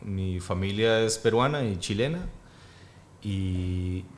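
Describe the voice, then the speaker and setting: A man in his thirties, born in Peru and speaking with a Costa Rican accent, talks thoughtfully as a guest, with many pauses and fillers. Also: fairly low pitch, a little hiss or hum. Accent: Costa Rican Spanish. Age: 30s. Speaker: actor and guest. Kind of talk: casual interview.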